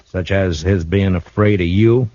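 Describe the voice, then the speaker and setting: An actor playing a steady, shrewd frontier marshal in a 1950s radio drama, speaking in a calm, dry, measured way. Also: male voice, low-pitched, no echo. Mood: calm, probing. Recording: dull old radio broadcast recording.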